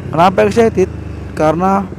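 Excited young rider over loud traffic. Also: male voice, sits high, normal speed, creaky. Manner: chatty, excited, slangy. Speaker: motovlogger. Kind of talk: casual riding vlog chat. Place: on a motorbike on city streets, outdoors. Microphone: helmet-mounted action camera. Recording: loud wind and traffic noise.

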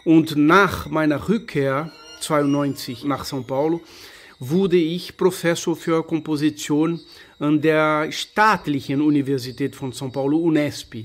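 A man's voice: a little high, steady tone, measured pace. Man, searching speech, music behind. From Brazil. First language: Portuguese. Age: 50s. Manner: careful, searching for words, with repeats and self-corrections. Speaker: composer presenting his work. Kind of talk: radio talk on his own music. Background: faint music.

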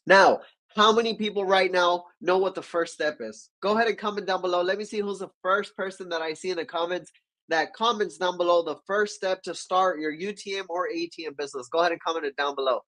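A man's voice: high voice, a little fast. A young man with a stuffy cold hosting a business live stream, talking with energy and asking viewers to comment. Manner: energetic, gestures with both hands, keeps asking viewers to comment. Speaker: livestream host. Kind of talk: business livestream teaching. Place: small dark-walled home studio.

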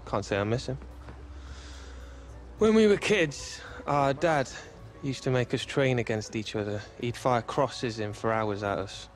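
An actor as a guarded young British footballer. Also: male voice, mid-range voice, lively intonation, unhurried, soft background music, lightly acted. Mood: bitter, subdued. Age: young adult. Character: young male footballer, joking but guarded and downcast. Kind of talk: naturalistic game cutscene acting.

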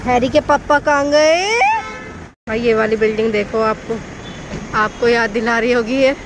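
High-voiced chatty woman vlogging. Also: normal speed. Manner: chatty, teasing, addresses viewers as guys. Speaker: family vlogger. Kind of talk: casual vlog chat to camera. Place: outdoors in a busy city and a shopping mall. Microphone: phone camera. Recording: loud crowd and street noise. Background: crowd and traffic noise.

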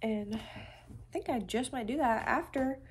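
A woman's voice: a little high, measured pace, slight background noise. A woman in her twenties with a stuffy nose from a cold, rambling casually with many fillers as she vlogs her day into a phone held at arm's length, first in a car and then at home. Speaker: vlogger. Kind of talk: casual day-in-the-life vlog chat. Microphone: phone camera held at arm's length.

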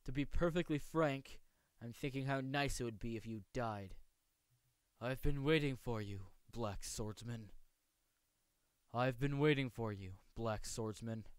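A man voicing a polite, aloof young swordsman from a manga, with formal, measured, precise speech. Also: medium pitch, smooth, dry recording, natural voice. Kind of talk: amateur voice acting showcase of manga lines.

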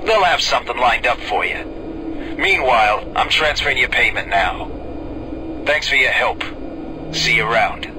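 An actor playing a male space security officer who gives brisk, matter-of-fact orders in a video game. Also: expressive, natural voice. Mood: steady. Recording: game music and engine rumble behind.

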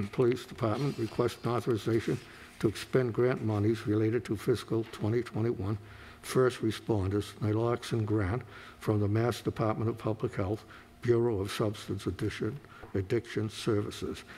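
A man's voice: fairly low pitch, moderate pace, slight background noise. Man reading council agenda items. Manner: steady, formal reading. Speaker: city clerk. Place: council chamber.

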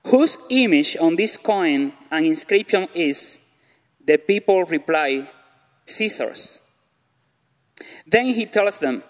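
Cuban-accented man preaching slowly. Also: sits high. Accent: Cuban Spanish. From Cuba. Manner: slow, careful delivery with gestures. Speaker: guest preacher. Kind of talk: sermon. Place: church stage, large hall. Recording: long reverb of a church hall.

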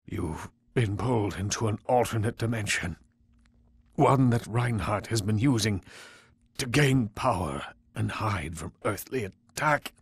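Solemn game mentor, mystic, husky, slow, portentous. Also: male voice, lowish voice, animated, no echo. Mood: solemn warning. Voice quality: raspy, husky. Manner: portentous, measured. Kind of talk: video game dramatic acting.

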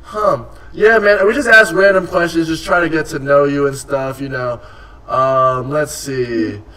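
A young male streamer who talks in loose slang and swears while teasing his guest. His voice sits fairly high and sounds a bit removed from the microphone. He is recorded indoors at a desk setup. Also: steady tone, a little slow, bright, forceful tone, recorded from a distance, low-quality recording. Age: young adult. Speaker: streamer host. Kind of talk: casual live-stream chat.

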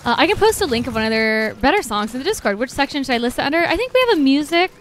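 A woman's voice: high-pitched, sing-song, racing, a little hiss or hum. A young woman from the Netherlands, co-hosting a casual gaming stream, chatting and laughing often. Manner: chatty, laughs often, reads out viewer comments. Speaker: livestream co-host.

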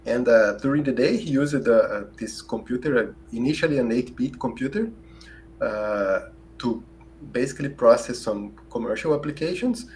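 A Brazilian-accented man in his forties answers interview questions slowly and hesitantly, with many "uh"s and restarts. His pitch sits in the middle for a man. A faint hum runs under his boom-arm mic. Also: animated, darkish tone. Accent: Brazilian Portuguese. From southern Brazil. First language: Portuguese. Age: 40s. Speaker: guest. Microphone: large studio mic on a boom arm.

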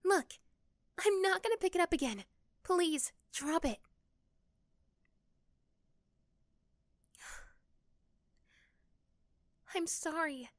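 A woman voicing a sweet, caring, shy young neighbour girl in an ASMR roleplay, gentle and hesitant. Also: very high-pitched, lively intonation, extremely slow, long pauses, no echo, studio quality, pitched above the performer's own voice, heavily acted. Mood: stressed, defensive.

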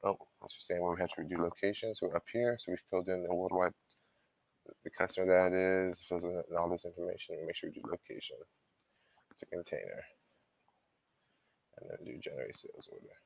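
A man giving an informal step-by-step software walkthrough as a trainer, explaining and thinking aloud as he goes. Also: low-pitched, a little fast, creaky, pauses often.

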